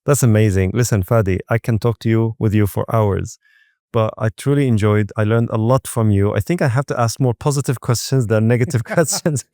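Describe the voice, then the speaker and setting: Male interview host, soft dark tone, dry sound, effusive conversational delivery with frequent rephrasing. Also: on the low side, fairly quick, dry recording. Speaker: podcast host.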